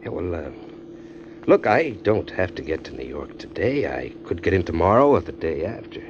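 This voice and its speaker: A tough ex-con drifter with street slang and a hardboiled manner. The voice sits very low but swings widely in pitch. It comes through as a dull old radio recording with music behind it. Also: male voice, very animated, moderate pace, background noise. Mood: flirting. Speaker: first-person narrator and drifter character. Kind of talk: 1940s radio drama acting.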